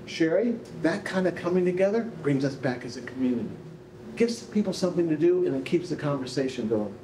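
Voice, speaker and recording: An older man with a fairly high voice hosts a live event on stage. He talks off the cuff and with animation to guests and audience. The room echoes around him. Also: lively intonation, brisk. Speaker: event host, researcher. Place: indoor rehearsal hall, on stage. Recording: echoey room, faint background noise.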